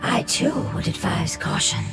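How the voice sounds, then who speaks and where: Woman doing a serious careful adviser type in a game, formal, roomy echo, big music behind. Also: lightly acted. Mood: cautious. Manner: measured, formal, advising caution. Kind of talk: video game voice acting.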